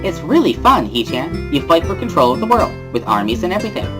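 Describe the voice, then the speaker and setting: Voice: medium pitch, very animated, fast talker, loud music behind the voice, pitched above the performer's own voice, natural voice. A reader voicing a bubbly, giggly teenage schoolgirl with a cheery, teasing sing-song delivery in a visual novel dramatic reading.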